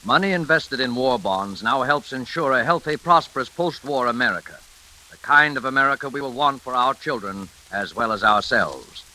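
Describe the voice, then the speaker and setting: A man announcing in a formal, polished, deliberate 1940s radio style, reading from a script. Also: medium pitch, lively intonation, bright, forceful tone, poor audio. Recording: vintage 1940s radio broadcast with music.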